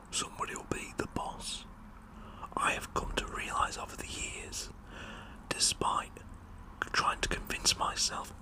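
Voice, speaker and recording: British bloke doing asmr, breathy whispering the whole time, rambly comic monologue, kinda cheeky. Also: male voice, poor audio. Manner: rambling, tongue-in-cheek, repeats words for effect. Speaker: ASMR performer.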